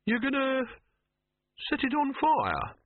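A man's voice: on the high side, expressive, a little fast, breathy edge, no room sound, voice pushed up. A man voicing a stiff, fussy alchemist businessman in an audiobook, spluttering with indignation. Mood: appalled. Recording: narrow phone-like radio sound.

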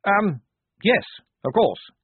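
A man's voice: sing-song, slow pace, slightly rough, no echo, heavily acted. A man voicing a timid officer who is posing as a woman in a storybook, quavering and hesitant. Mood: flustered. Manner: quavering, hesitant, fluttery falsetto. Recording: narrow tinny phone-like audio.

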